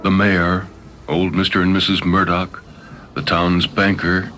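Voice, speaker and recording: A man's voice: sits low, little pitch movement, a little slow, background noise. A man reading a short story as a dramatic narrator. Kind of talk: dramatic scripted narration over music. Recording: loud music bed behind voice. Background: music.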